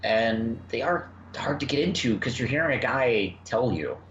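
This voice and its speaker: A man chatting casually on a review discussion in a halting, rambling way, often repeating words. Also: mid-range voice, expressive, fairly bright tone. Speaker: podcast host and writer. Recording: muffled, roomy, noisy home recording.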